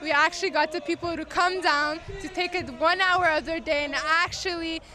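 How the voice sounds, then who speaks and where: Woman protest organizer, earnest and emphatic, high bright voice. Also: fairly even intonation, moderate pace, rough recording. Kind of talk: street interview.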